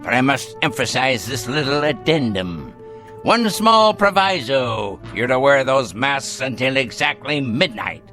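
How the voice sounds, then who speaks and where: A bitter, sardonic old patriarch near death in a radio drama. He speaks slowly and grandly, with sweeping swings of pitch, biting off his words like commands. Music plays behind him. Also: expressive, sharp tone. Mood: bitter, scornful. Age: older. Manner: theatrical, deliberate, biting, grandiloquent.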